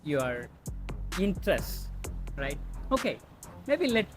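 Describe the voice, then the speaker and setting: A woman's voice: deep voice, sing-song, slow. A woman hosting a podcast interview, asking questions and answering with short acknowledgements. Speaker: podcast host. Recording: music in the background.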